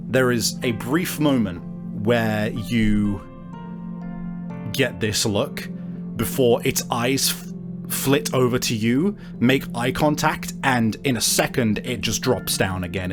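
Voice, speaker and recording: A man running a tabletop game as game master, narrating casually and searching for his words. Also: medium pitch.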